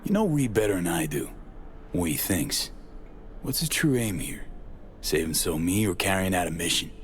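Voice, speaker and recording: Low-voiced, blunt street mercenary. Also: male voice, very animated, normal speed, a little hiss or hum. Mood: wary, probing. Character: street-smart, guarded mercenary man, wary and blunt. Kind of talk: cinematic game acting, casual slangy dialogue.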